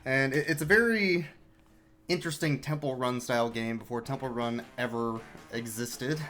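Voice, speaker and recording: A man commentating his live speedrun in an easygoing, talkative way at a middle pitch, explaining each move as he goes. Faint game music plays behind him. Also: moderate pace. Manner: relaxed, chatty, explaining as he plays.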